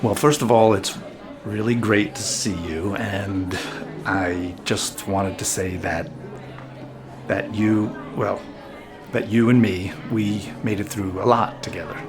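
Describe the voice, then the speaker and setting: A man playing an earnest, humble boat captain in an audio drama, speaking sincerely and a bit haltingly. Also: lowish voice, fairly even intonation, a crowd in the background. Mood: earnest, tender. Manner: halting, sincere, plain-spoken. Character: earnest, humble former boat captain in love-tinged friendship. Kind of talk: naturalistic audio drama acting.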